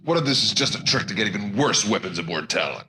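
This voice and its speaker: An actor playing a suspicious, short-tempered alien warrior in a science-fiction TV drama, speaking confrontationally. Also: male voice, fairly low pitch, expressive, quick pace, lightly acted. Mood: distrustful. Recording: noisy TV show audio.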